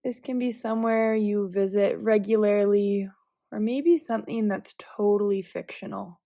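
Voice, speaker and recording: A woman leading a guided visualization meditation, giving calm, gentle, measured instructions with long pauses between phrases. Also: medium pitch, no echo, studio quality.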